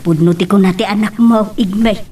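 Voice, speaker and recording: A woman's voice: medium pitch, fairly quick, mellow, natural voice. A woman acting a pleading, long-suffering member of a poor rural family in an audio drama, recorded off-mic. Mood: worried, resigned. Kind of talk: Ilocano radio drama acting. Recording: distant mic, slight background noise.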